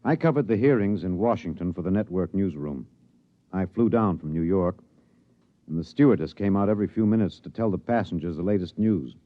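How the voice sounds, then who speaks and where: An actor playing an earnest, somber news reporter, narrating solemnly in a 1950s radio drama. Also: male voice, deep voice, brisk, no echo, natural voice. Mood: grave. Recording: dull 1950s radio recording.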